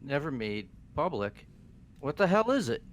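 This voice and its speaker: A man's voice: a little high, sing-song, normal speed. A man voicing a hot-headed, exasperated young delinquent gang leader in an amateur game dub. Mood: baffled, brash. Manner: brash, exasperated, swearing.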